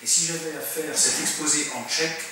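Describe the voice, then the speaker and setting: A middle-aged man gives a lecture as a military officer, hesitating often and restarting his phrases. He is heard from a distance in a very echoey room with faint ambient noise. His voice sits at an ordinary pitch. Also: fairly even intonation, brisk, bright, forceful tone. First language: French. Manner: hesitant, many filler sounds and restarts. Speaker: military officer giving a talk. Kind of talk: lecture presentation. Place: conference room. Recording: very echoey room, mic far off.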